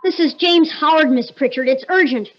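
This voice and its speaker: An actor playing a desperate, demanding shrinking scientist in a 1950s radio drama, insistent and agitated, with an artificially raised voice on an old recording. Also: male voice, very high voice, moderate pace, dull audio. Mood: urgent, demanding.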